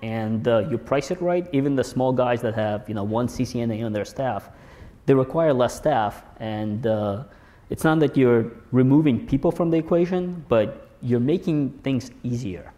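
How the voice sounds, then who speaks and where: A young man speaks on a conference stage through a lapel mic. His mellow voice sounds relaxed and wry, and he leans on fillers and quick restarts as he explains technical ideas. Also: medium pitch. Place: large conference hall on stage.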